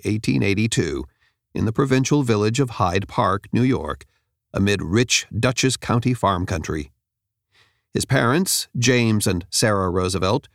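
Man narrating with lively intonation. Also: lowish voice, gravelly, dry recording, clean studio sound. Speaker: audiobook narrator. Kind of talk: scripted nonfiction audiobook narration. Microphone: close studio microphone.

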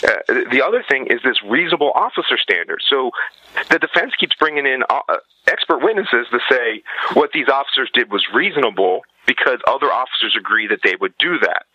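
A man's voice: mid-range voice, very animated, a little fast, bright, forceful tone, husky, dry recording, dull audio. A man giving blunt, emphatic opinions as a guest in a podcast interview.

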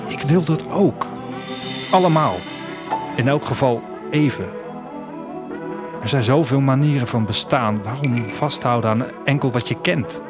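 A man acting as a wistful, restless traveller tells his own story in a literary audio drama. His mid-range voice is reflective and measured. Light music plays behind him. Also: medium pitch, lightly acted. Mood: earnest, reflective. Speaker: narrator and lead character of an audio drama. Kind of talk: first-person literary audio drama narration.

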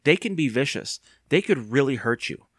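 A man reading a storybook aloud and voicing a nervous, squeamish young girl in a pitched-up, anxious way. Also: expressive, normal speed, a bit bright, no room sound, studio quality. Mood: warning.

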